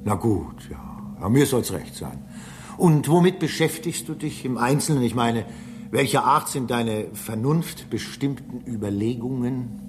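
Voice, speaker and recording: A man's voice: mid-range voice, lively intonation, unhurried, background noise, close to an everyday voice. An actor playing a jaded male space station engineer in a radio play, casual, offhand and mildly condescending. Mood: amused, sceptical. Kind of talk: German radio play acting.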